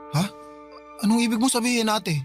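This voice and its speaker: A man's voice: high voice, fairly quick, soft background music, natural voice. A man playing a broke, pleading younger brother. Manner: defensive, whiny, pleading. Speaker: younger brother in a radio drama. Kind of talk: Filipino radio drama acting.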